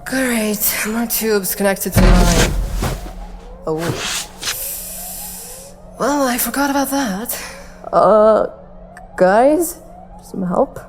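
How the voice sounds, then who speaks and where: A woman's voice: on the high side, very slow, long pauses, music in the background. A woman acting a cocky, sarcastic captive in an audio drama, teasing and narrating in a wry, slangy way. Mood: wry, exasperated.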